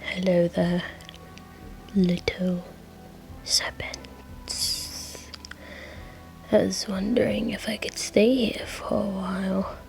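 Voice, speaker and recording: A woman acts out a tipsy, clingy male serpent demon in a roleplay story. The voice is a slow, mellow, half-whispered murmur, drowsy and low in energy. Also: steady tone, vocal fry, soft background music, rough recording. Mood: sleepy, affectionate. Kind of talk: fan-fiction roleplay voicing.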